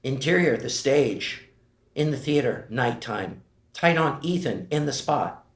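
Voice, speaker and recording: A man narrating screenplay directions at a table read, measured and matter-of-fact. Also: medium pitch, expressive. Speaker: narrator reading stage directions. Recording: roomy echo.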